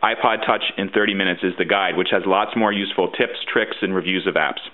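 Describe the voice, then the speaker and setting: A man's voice: deep voice, fairly even intonation, a little fast. A man presenting an informal how-to demonstration, explaining things step by step. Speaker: tutorial presenter and guide author. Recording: muffled, phone-like narrow-band sound.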